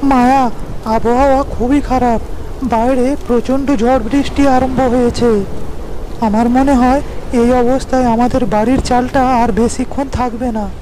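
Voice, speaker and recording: A woman voicing a poor, hardworking farmer husband in an animated storybook, speaking in an earnest, comforting way. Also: a little high, measured pace, background noise, lightly acted. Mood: worried. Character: poor hardworking farmer, kind and reassuring man. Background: cartoon background music.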